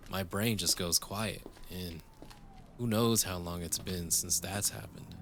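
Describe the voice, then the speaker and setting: A male voice actor as a young cyberpunk lover, speaking slowly in a lowish, bright, crisp-edged voice. He is tender and wry, teasing softly with small hesitations, as in an intimate romance audio drama. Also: slight background noise.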